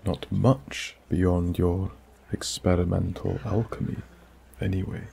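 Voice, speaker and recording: Scottish man, bedtime story narrator, low gravelly voice, mellow, soothing whispery delivery. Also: fairly even intonation, a little hiss or hum. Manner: slow, hushed, soothing, drifting into whispers.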